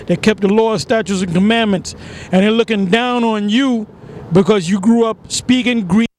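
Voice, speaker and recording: A man in an outdoor preaching discussion, chiming in with examples and halting restarts. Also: sits high, a little hiss or hum. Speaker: street preacher.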